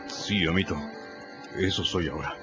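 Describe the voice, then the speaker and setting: A man's voice: deep voice, steady tone, normal speed, natural voice. A man playing a timid, superstitious runaway slave in a radio drama, humble and deferential, with a stammering, pleading manner. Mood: anxious, confiding. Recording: muffled radio production with music behind.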